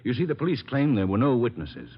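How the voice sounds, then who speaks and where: A man's voice: medium pitch, very animated, fast, husky. An actor playing a formal, earnest middle-aged politician and concerned father in a 1940s radio drama. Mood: formal, worried. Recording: muffled old radio broadcast.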